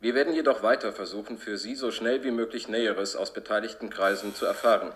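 An actor as a formal broadcast announcer in a science-fiction radio play. His voice is on the low side. He speaks in official, scripted phrases. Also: fast, slight background noise, lightly acted.

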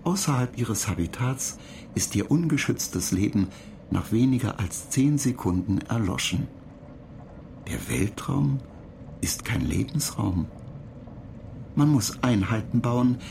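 Pedantic ship computer, gravelly, detached, terse, radio drama. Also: male voice, fairly low pitch, expressive, unhurried, pauses often, noisy background. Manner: dry, lecturing, terse.

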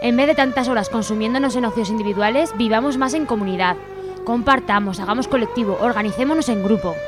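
A woman co-hosts a radio show in a fairly high voice. Her delivery is presenterly and partly scripted. Light music runs behind her studio microphone. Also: fast talker.

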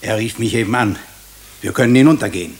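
Radio drama professor, male actor: authoritative, brisk questioner, fairly low voice, roomy acoustics. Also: lightly acted. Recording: roomy echo.

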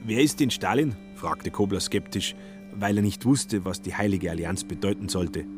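An actor as the wry narrator of a satirical radio drama, telling the story and acting out the quoted lines. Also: male voice, on the low side, normal speed, music in the background, natural voice. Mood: wry, lively.

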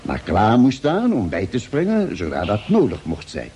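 An actor playing a calm, genial ship's doctor who keeps a diary, articulate and measured, in a radio drama. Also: male voice, fairly low pitch, slight background noise. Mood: measured, wondering. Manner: measured, articulate, expressive storytelling. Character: ship's doctor and diarist, calm, genial male space traveller. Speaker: narrator and actor. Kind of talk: Dutch radio drama narration and dialogue.

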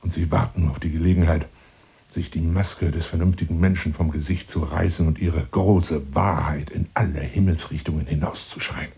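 An actor playing a pompous, lonely hunter seducing a woman in a radio drama monologue, grandiloquent and insinuating, moving between hushed and declaimed phrases. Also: rumbling low, steady tone, normal speed, gravelly, slightly rough, exaggerated voice. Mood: insistent, teasing.

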